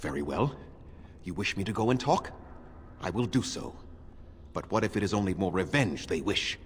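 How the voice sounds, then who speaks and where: A man acting as a proud, bitter, ancient elf clan leader in a fantasy game, speaking in a measured, formal and condescending way. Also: deep voice, animated, background noise. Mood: dry, guarded.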